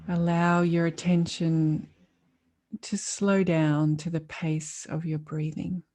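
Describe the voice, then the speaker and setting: Female meditation teacher, soft mellow tone, unhurried and calm, long pauses between phrases. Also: lowish voice. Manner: calm, soothing, measured delivery with long pauses. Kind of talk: guided meditation.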